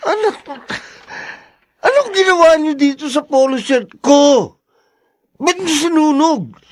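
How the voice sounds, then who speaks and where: An actor playing a grumpy, scheming, bossy son-in-law in a radio drama, with a surly, demanding manner. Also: male voice, very high voice, lively intonation, slow, no echo, full character voice. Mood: outraged.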